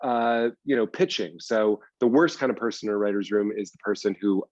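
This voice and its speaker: A man's voice: on the low side, brisk, a little mellow, no room sound, highs cut off. A man from the US East Coast chatting casually as an interview guest, explaining things in a self-deprecating way. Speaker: guest, TV writer and teacher.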